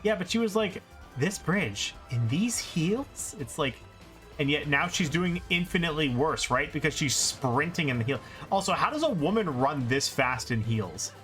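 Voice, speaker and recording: A man's voice: on the high side, lively intonation, normal speed, a bit bright, soft background music. A man giving casual, exclamatory commentary while he plays a video game on a livestream. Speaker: speedrunner streamer playing and commenting.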